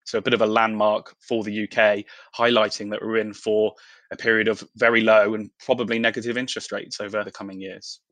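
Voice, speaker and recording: Guy explaining stuff in an interview, sharp clear tone, pretty level pitch. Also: flat delivery, brisk, no room sound. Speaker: investment professional, interview guest.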